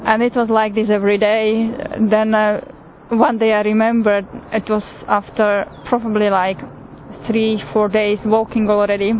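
A woman from Slovakia with a Slovak accent, telling her pilgrimage story in an unhurried way with frequent pauses and fillers. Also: medium pitch, little pitch movement. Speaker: pilgrim sharing testimony. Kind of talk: personal testimony. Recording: tinny phone-like recording with faint noise.

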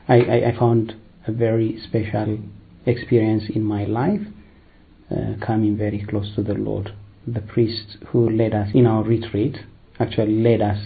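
A priest, telling his vocation story in a hesitant, unhurried way with many fillers. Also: fairly low pitch, creaky, phone-quality sound. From Eritrea, raised in Ethiopia. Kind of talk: personal vocation testimony.

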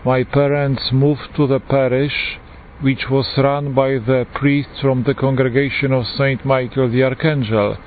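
A man in his forties with a Polish accent, a priest giving an earnest, unhurried personal testimony with frequent pauses. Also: medium pitch, flat delivery. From Poland. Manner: earnest, unhurried, pausing often. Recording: tinny phone-like line with faint hiss.

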